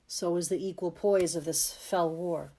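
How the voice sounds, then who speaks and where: Woman acting a slow, sorrowful gentle king. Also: on the low side, steady tone, poor audio. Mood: melancholy musing. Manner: mournful, measured verse. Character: gentle, sorrowful, reluctant king, weary of war. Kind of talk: Shakespearean audio drama.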